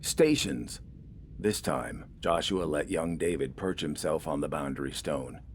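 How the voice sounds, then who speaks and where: A man reading a scripted dramatic story in a measured, deliberate storytelling manner. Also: a bass voice, a little hiss or hum. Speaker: audiobook narrator.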